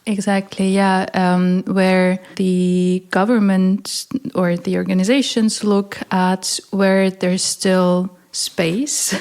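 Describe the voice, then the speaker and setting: Woman in her thirties, from Ukraine and Germany, interview guest, thoughtful, hesitant, mid pitch. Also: a little mellow. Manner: thoughtful, hesitant with fillers, laughs easily. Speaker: artist and researcher, interview guest. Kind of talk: casual conversational interview.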